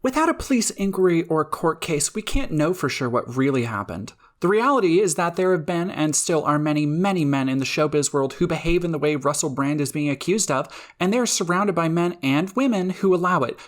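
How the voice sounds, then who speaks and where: A woman's voice: lowish voice, expressive, fairly quick, hardly any pauses. A woman reading someone's written opinion aloud as a guest voice.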